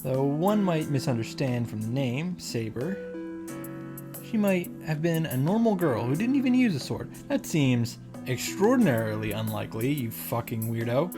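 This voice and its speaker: Female game commentator, low register, sarcastic and sweary, casual delivery over audible background music. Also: animated, moderate pace. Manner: sardonic, profane asides. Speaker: visual novel reaction host.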